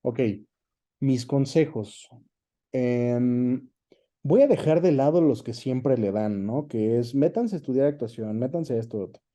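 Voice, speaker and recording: A man's voice: mid-range voice, unhurried, dark tone. A Mexican man being interviewed, explaining things in a casual, conversational way and often checking in with short tag questions. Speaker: interview guest, voice actor and dubbing director.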